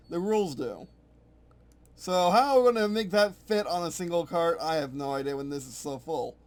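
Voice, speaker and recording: A man casually thinking aloud at his computer as he reworks his game rules, rambling and correcting himself as he goes. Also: high voice. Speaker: game designer streaming his work.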